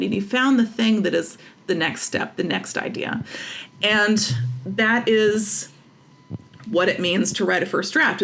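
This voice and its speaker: A woman's voice: mid-range voice, gravelly, slight background noise. An American woman talking casually and emphatically as an interview guest, giving opinions and repeating phrases for stress. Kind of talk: casual, opinionated creative talk.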